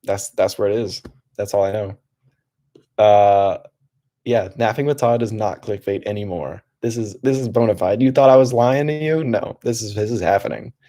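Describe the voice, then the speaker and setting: A young man hosting a relaxed livestream from his home desk, talking softly in a calm, rambling way with many restarts. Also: lowish voice, moderate pace. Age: young adult. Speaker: livestream host.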